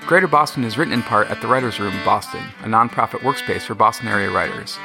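Male announcer, slightly low voice, rapid scripted credits read over prominent guitar music. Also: gravelly. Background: plucked guitar music.

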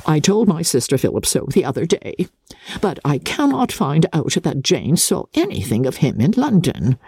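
A woman's voice: low-pitched, wildly swooping pitch, moderate pace, darkish tone, breathy edge, no echo, exaggerated voice. A woman voicing a fussy, querulous middle-aged mother who chatters fretfully and self-pityingly in a dramatic novel reading. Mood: aggrieved.

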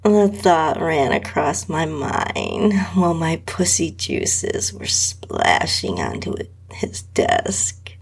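A woman narrating an intimate first-person adult story in a breathy, sultry voice that often sinks close to a whisper. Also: deep voice, wildly swooping pitch. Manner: slow, sultry, drawn-out delivery. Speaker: podcast host and story narrator.

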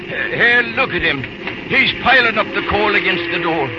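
An actor playing a cocky, teasing, wounded Irish railroad engineer with blustery banter in an old-time radio drama. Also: male voice, high voice, lively intonation, moderate pace. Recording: muffled, very noisy old radio recording.

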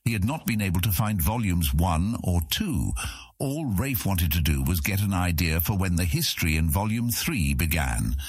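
A man reads fantasy fiction as a dramatic storyteller. His low voice has a husky grain and swings widely in pitch. The delivery is scripted and expressive. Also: normal speed, no room sound, studio quality. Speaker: audiobook narrator.

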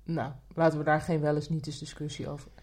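A woman's voice: low-pitched, monotone, fast talker, darkish tone. A woman co-hosting a casual podcast conversation, asking questions in a teasing way. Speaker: podcast co-host. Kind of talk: casual chat.

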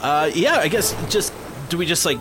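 A man's voice: fairly high pitch, very animated, normal speed, over music. A man playing a tentative, agreeable survival game contestant who stumbles over his words.

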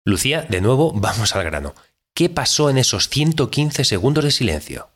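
A man's voice: medium pitch, very animated, normal speed. A man playing a direct, insistent radio interviewer in a scripted audio drama, speaking. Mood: pressing. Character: direct, probing male radio interviewer with no-nonsense questions. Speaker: podcast host interviewing an astronaut. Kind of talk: scripted fictional interview show.

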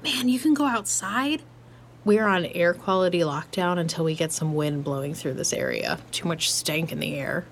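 Wry, easygoing young female techie played by an actress for audio drama; lower register, blunt, over background ambience. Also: normal speed, a little hiss or hum, close to an everyday voice. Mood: breezy, casual. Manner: casual, slangy, dryly joking. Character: laid-back, wry, blunt young hardware tech. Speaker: voice actor. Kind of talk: naturalistic audio drama dialogue.

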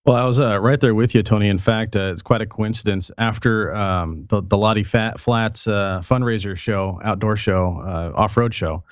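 A man talking as a guest in a casual chat, relaxed and easygoing, his voice still recovering from a sore throat. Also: fairly low pitch, normal speed, few pauses, no room sound, highs cut off. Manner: relaxed, easygoing, filler words. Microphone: internet call.

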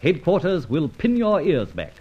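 An actor playing a roguish, self-assured gentleman adventurer in a vintage radio drama, with a breezy, bantering, theatrical manner. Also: on the high side, huge pitch swings, normal speed, breathy edge, exaggerated voice. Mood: insistent bargaining. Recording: dull, muffled old radio recording.

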